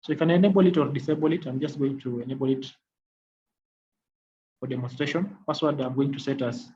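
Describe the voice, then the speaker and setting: A man giving a software demonstration as a technical trainer, explaining in a halting, repetitive way. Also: mid-range voice, steady tone. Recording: dull lo-fi audio, faint noise.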